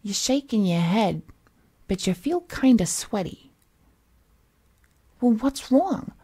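A woman's voice: medium pitch, sing-song, takes it slowly, dark tone, husky, heavily acted. A woman playing a cheerful, possessive villainess kidnapper in a roleplay audio drama, cooing and coaxing the listener in folksy slang. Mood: eager, coaxing. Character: cheerful, possessive female supervillain kidnapper, doting and unhinged.

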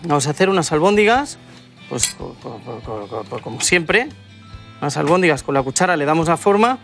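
Chatty TV chef, higher voice. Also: male voice, very animated, fairly quick, vocal fry, faint music behind. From Spain. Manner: chatty, enthusiastic, explains as he cooks. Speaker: TV cooking show host. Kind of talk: cooking demonstration. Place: TV studio kitchen set.

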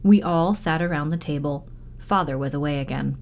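Low-voiced woman reading a children's story. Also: moderate pace, a little mellow. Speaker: library storytime reader. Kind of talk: expressive children's audiobook-style narration.

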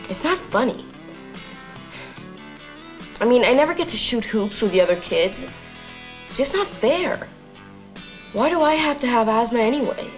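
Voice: medium pitch, lively intonation, moderate pace, pauses often, soft background music, natural voice. A young adolescent boy actor playing a sulky, dejected kid with asthma in an educational video. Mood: sulky, complaining. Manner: whiny, dejected. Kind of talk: scripted educational video acting.

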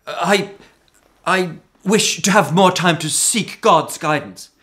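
A man's voice: high voice, slow pace, pushed and bright, exaggerated voice. An actor playing a cautious, pious young knight, anxious for his friend, in a historical radio drama. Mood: reserved.

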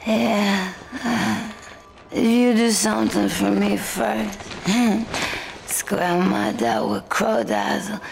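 Hazy crafty game wastelander, gravelly, slow, noisy behind her. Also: medium pitch, lively intonation, heavily acted. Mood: groggy, bargaining. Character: boozy crafty wasteland woman fighter, drugged and sardonic.

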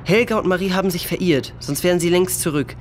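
A male responsible older boy, fairly high-voiced. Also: fast talker, faint music behind, close to an everyday voice. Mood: firm. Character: responsible, earnest oldest boy leading his friends. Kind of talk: children's audio drama acting.

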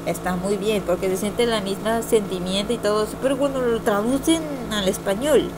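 A woman's voice: medium pitch, fairly even intonation, moderate pace. A young woman giving a casual opinion vlog in a rambling, hesitant way, often repeating herself. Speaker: amateur video reviewer. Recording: noisy amateur home computer recording.

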